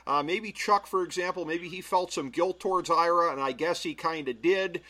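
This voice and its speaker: A man hosting a podcast, counting down a list and talking through it in a measured way with many "uh"s. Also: on the high side, pushed and bright. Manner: measured, filler-heavy analysis. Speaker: podcast host. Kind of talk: casual podcast chat.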